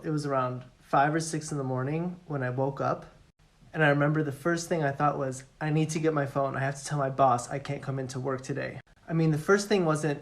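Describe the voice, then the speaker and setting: Man, brisk matter-of-fact storyteller, gravelly edge. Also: medium pitch. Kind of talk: telling an anecdote to camera.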